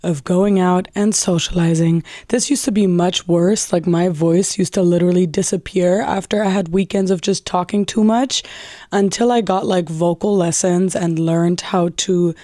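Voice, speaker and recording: Young woman podcasting solo, raspy tired voice, chatty, lots of "like" and swearing, kinda low and mellow. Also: steady tone, normal speed, dry recording, studio quality. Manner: candid and profane, filler-heavy, chatty. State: tired, voice worn from a weekend out. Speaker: podcast host. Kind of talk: casual solo podcast monologue.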